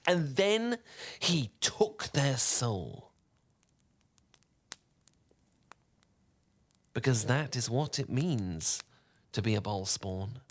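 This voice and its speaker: A man playing a charming, theatrical wizard who tells a bedtime tale softly and intimately, with dramatic pauses, in an ASMR roleplay style. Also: medium pitch, lightly acted. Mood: hushed, dramatic. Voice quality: soft-spoken. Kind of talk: soft-spoken ASMR roleplay storytelling.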